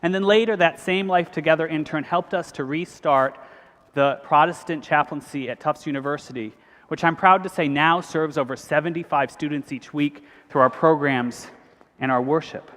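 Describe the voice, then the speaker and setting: A priest, giving an earnest, measured convention speech. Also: male voice, on the high side, fairly bright tone. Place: large cathedral. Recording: long hall reverb.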